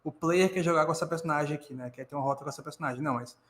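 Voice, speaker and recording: Brazilian guy chatting opinions on stream, talks quick, echoey room with some noise. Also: fairly high pitch. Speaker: reaction stream co-host. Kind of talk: casual opinionated commentary.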